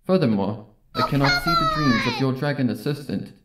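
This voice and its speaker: A man's voice: medium pitch, huge pitch swings, brisk, very noisy. A man voicing a solemn, regal mare princess in formal, stately phrasing, in a dramatic story reading. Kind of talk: dramatic fan fiction reading.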